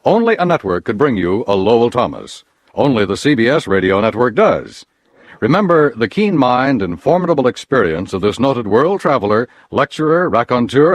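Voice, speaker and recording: A man's voice: mid-range voice, no room sound. A man with an American accent announcing in a polished, rhetorical classic network radio style from a script.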